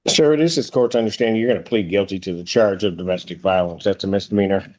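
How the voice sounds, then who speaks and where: A man with a very deep voice presides as a judge over a court hearing. He speaks in the manner of courtroom proceedings, and the audio is muffled and lo-fi. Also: fast talker, a little mellow. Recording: dull lo-fi courtroom video audio.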